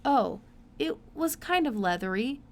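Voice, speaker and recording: An earnest young farm boy, read by a woman in a storybook. He explains things plainly and without hurry, over clear background noise. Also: high-pitched, big pitch swings, measured pace, voice pushed up, exaggerated voice.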